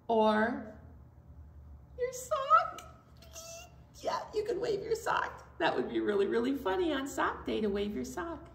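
Animated woman leading storytime in a roomy space. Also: high-pitched, creaky. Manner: playful, animated. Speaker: children's library storytime host. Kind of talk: interactive children's storytime with action songs. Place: indoor room with fabric backdrop. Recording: roomy, distant mic, background noise.

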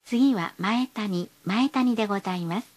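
A woman's voice: medium pitch, moderate pace. A woman reading scripted bus stop announcements in a polite, formal, carefully enunciated way.

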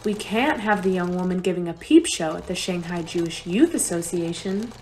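A woman's voice: fairly low pitch, moderate pace, mellow, a little hiss or hum, lightly acted. A woman acting a determined, anxious young refugee mother in a radio play, speaking earnestly. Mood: wry, busy.

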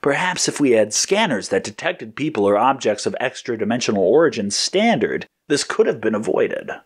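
A man playing a mild, conciliatory agent in an audio story, speaking in a measured, reasonable way. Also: medium pitch, big pitch swings, husky. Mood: apologetic. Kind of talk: acted audio story dialogue.

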